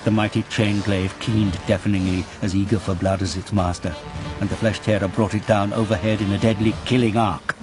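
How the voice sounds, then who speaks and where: Deep voiced guy reading dramatic fiction, intense and grave, music going behind him. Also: level tone, fairly quick. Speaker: audio drama narrator. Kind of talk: dramatic scripted fiction narration.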